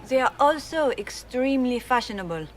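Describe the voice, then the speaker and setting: A woman's voice: high-pitched, expressive, moderate pace, slight background noise. An actress playing a formal, ingratiating female court messenger in a video game cutscene.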